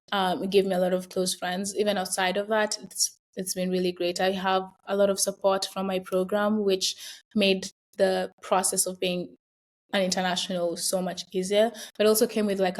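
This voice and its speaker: A woman's voice: medium pitch, a little mellow. Young adult woman, Kenyan accent, interview guest, reflective and chatty, mid-range voice. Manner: chatty, reflective, frequent fillers and laughs. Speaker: guest, university student. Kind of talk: casual interview conversation.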